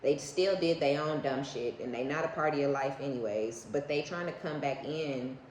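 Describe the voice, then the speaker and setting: A woman's voice: deep voice, moderate pace, a little mellow. An American woman giving a casual, unscripted tarot reading to viewers in a blunt, sassy, slangy way, swearing freely and often checking in with short tag questions. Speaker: tarot reader, online host. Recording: echoey room with faint background noise.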